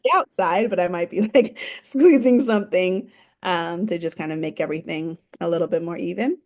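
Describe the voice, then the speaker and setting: A woman speaking casually in a seminar discussion, with a somewhat low voice. She uses lots of fillers as she shares what helps her. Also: fairly quick, darkish tone. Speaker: seminar participant.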